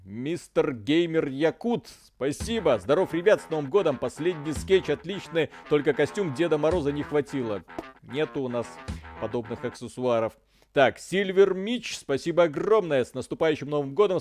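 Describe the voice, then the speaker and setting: A man's voice: fairly high pitch, a little mellow. A man co-hosting a stream, reading viewer messages aloud in a loose, casual way, swearing now and then and trailing off. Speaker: stream co-host. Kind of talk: casual chat reading donations.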